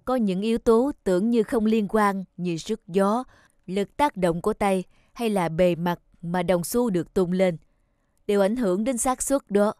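A man voicing a stern but kindly middle-aged contest judge in a cartoon, measured and formal. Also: high voice, darkish tone, clear, resonant tone, no echo, clean studio sound. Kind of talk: cartoon voice acting.

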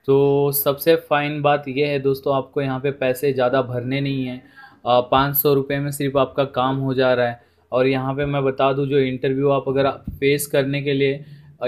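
Indian guy doing an explainer voiceover, pretty flat tone, medium pitch, keeps talking to viewers like friends. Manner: repetitive, often addresses listeners as friends, mixes in English words. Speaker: presenter of a job information video. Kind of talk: informal explainer voice-over, addressing viewers.

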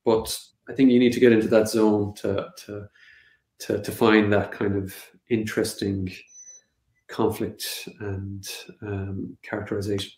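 A man's voice: fairly low pitch, level tone, unhurried, a little mellow. A man in his thirties with an Irish accent, a native Irish speaker, answering interview questions on a video call with a lot of hesitation and restarts. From Connemara, west of Ireland. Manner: hesitant, many fillers and restarts. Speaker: film director. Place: home room, seated on a sofa. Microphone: video call webcam. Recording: echoey room.

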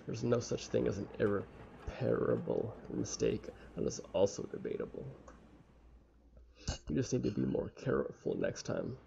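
A young man with a casual, halting, sweary manner reading a visual novel aloud and commenting as he plays. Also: on the low side, huge pitch swings, creaky, mellow. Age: young adult. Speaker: let's play streamer. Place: small room at home. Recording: dull lo-fi mic with faint noise.

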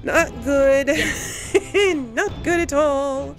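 High-voiced woman playing a game, thinking aloud. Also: drawn-out words. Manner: thinking aloud, reactive, self-talking. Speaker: let's play host. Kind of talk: casual live gameplay commentary. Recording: loud game music under voice.

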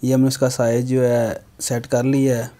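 A man's voice: medium pitch, level tone, moderate pace, warm tone. A young man, giving step-by-step how-to narration in a plain, instructional manner. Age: young adult. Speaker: how-to video host.

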